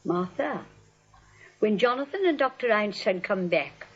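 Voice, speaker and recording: Husky, fussy old lady. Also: mid-range voice, expressive, a little slow. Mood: sweetly firm. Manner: fussy, politely insistent. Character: genteel elderly aunt, sweetly firm. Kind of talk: 1940s radio comedy acting. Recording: dull old radio recording.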